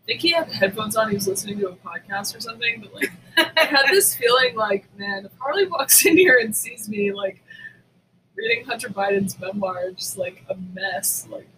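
A woman podcast co-host chatting casually, telling stories in a candid, animated, sweary way. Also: medium pitch, normal speed, slight background noise, low-quality recording. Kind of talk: casual chat.

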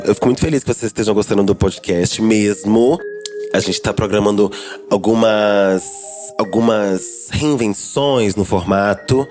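A man with a Brazilian Portuguese accent hosting a podcast in a drag persona, hoarse from a cold, chatting in a campy, joking way. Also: lowish voice, a little mellow. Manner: campy, playful and jokey. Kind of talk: casual podcast chat.

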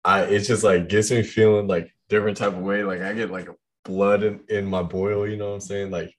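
A young man with a deep voice chatting casually about the music he loves, rambling with lots of fillers. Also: level tone, brisk. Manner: rambling, heavy use of "like".

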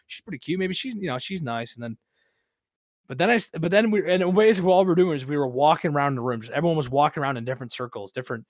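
A man in his early twenties telling a story in a casual podcast style, rambling and halting, often repeating himself and swearing. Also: on the high side, expressive, a little mellow, no room sound, studio quality. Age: early 20s. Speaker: podcast host.

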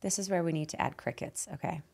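A woman with a raspy, hoarse voice hosting a conversational podcast in a playful, teasing manner. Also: on the low side, fast talker, darkish tone. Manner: playful, teasing, laughs often. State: voice hoarse after losing it. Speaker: host and guide.

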